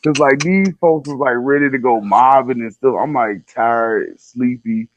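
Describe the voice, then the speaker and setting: A man's voice: medium pitch, unhurried, dull audio, heavy background noise. A man in his twenties chatting casually as a guest, candid and rambling, with a lot of slang. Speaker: guest telling personal stories. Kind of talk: casual chat.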